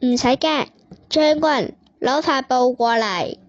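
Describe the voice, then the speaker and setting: Woman acting as a creaky-voiced, commanding, kindly queen. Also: high voice, lively intonation, slow, lo-fi sound, heavily acted. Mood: calm command. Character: benevolent forgiving queen, regal and commanding. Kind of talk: stage play acting.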